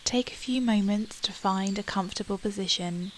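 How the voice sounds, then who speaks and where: A woman leading a guided meditation in a calm, soothing manner with long pauses. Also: mid-range voice, noisy background. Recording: steady outdoor nature ambience with birdsong. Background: birdsong and nature ambience.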